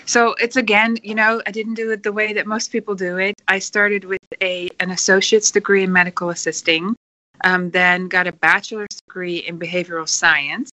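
A woman in her forties from the Netherlands, a podcast guest speaking earnestly and filling pauses with many ums. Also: medium pitch, little pitch movement, pushed and bright, no echo, rough recording. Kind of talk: podcast interview.

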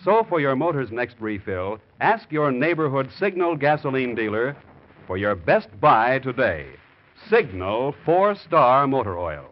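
Male vintage radio announcer, emphatic polished sales read, very lively intonation, dull lo-fi recording. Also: mid-range voice, unhurried. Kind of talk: 1940s radio announcing and sponsor pitch. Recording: muffled 1940s radio recording.

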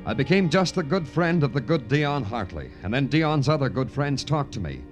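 An actor playing a wry, hard-boiled Broadway police detective in clipped, deadpan old radio noir style. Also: male voice, mid-range voice, normal speed, highs cut off, natural voice. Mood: brooding narration. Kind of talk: 1940s-50s radio noir acting and narration. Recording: vintage radio drama with music.

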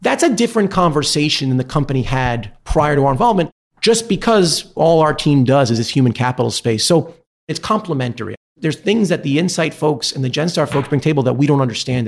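A man answers questions as an executive guest in a business interview. His voice sits at a middle pitch. He explains things in an articulate, measured and diplomatic way. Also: creaky.

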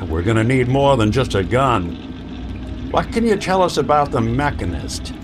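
An actor playing a wry, plain-spoken detective in a radio drama, with a dry and down-to-earth manner. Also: male voice, medium pitch, sing-song, unhurried, background noise. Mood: dry, businesslike.

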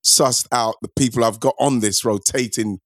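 A man hosting a casual football podcast, laid-back and affectionate, quick with short agreements. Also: mid-range voice, steady tone, dry recording, studio quality. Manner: laid-back, affectionate, lots of "yes" and "nice one". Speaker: podcast host.

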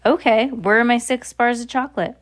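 Bratty storybook boy, done by a woman, high-pitched, greedy, impatient, demanding. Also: a little fast, lightly acted.

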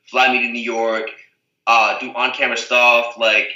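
A man's voice: medium pitch, flat delivery, measured pace, pushed and bright. Rambling young man, echoey and distant. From Portugal, the Azores. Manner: rambling, heavy use of "like" and "you know what I mean". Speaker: guest, reality TV contestant. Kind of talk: casual interview chat.

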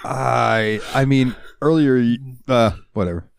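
A man's voice: mid-range voice, a little slow, creaky, clear, resonant tone, no room sound. A man co-hosting a podcast, telling rambling stories in casual chat and swearing freely. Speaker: podcast co-host. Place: US South, central Florida.

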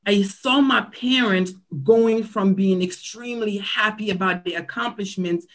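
A woman's voice: medium pitch, unhurried. A woman from Cameroon in her thirties, a nurse practitioner giving interview answers in an earnest, reflective storytelling manner. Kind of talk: conversational interview.

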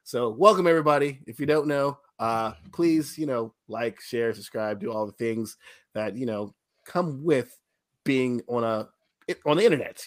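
A man's voice: medium pitch, normal speed. A man hosting a casual comedy podcast, joking and poking fun at himself in a playful way. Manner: joking, self-deprecating, playful. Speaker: podcast host.

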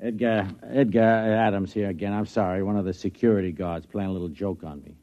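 An actor playing a busy, condescending security supervisor in a radio drama, patronizing and given to stammering. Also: male voice, on the low side, fairly even intonation, fairly quick, lightly acted. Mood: businesslike. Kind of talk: old-time radio drama acting. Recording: muffled lo-fi radio recording.

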